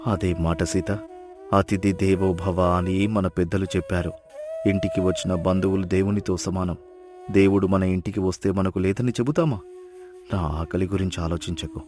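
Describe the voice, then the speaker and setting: A man voicing a poor, kind and self-sacrificing village husband in a moral story, earnest and gentle. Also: sits low, steady tone, normal speed, over music, natural voice. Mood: earnest, reassuring. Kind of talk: storybook dialogue acting.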